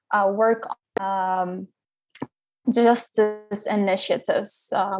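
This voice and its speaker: A middle-aged woman who grew up in Liberia, speaking as an interview guest. Her pitch sits in an ordinary middle range, and she talks reflectively, pausing with "uh" and restarting her phrases as she thinks. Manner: reflective, many fillers and restarts. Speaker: guest scholar. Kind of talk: interview conversation.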